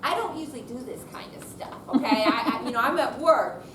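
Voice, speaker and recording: A woman's voice: high-pitched, animated, fairly quick, fairly bright tone. A woman giving an informal, chatty thank-you speech to an audience as a program organizer. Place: room at a senior center. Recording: roomy, distant mic, noisy.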